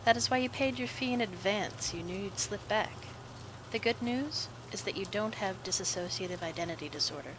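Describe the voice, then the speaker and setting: A woman's voice: medium pitch, moderate pace, background noise, lightly acted. A woman voicing a cool, clinical psychiatrist. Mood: clinical, calm. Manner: soft, precise, lecturing. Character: cool, clinical female psychiatrist, quietly amused. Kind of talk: amateur motion comic voice acting.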